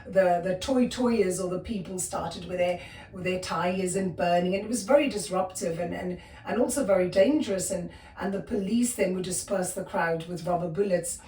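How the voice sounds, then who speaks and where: A woman's voice: on the low side, normal speed, mellow, echoey room, recorded from a distance, slight background noise, low-quality recording. South African woman in her fifties. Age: 50s. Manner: hesitant, many fillers and restarts. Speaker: guest. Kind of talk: personal storytelling interview.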